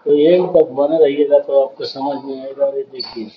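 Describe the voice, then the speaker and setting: A man's voice: mid-range voice, little pitch movement, normal speed, highs cut off, slight background noise. A man hosting a welding channel, explaining a pipe weld step by step and often calling viewers "friends". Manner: repeats "dekhiye" and "doston" often, explanatory. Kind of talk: explaining welding over filmed work.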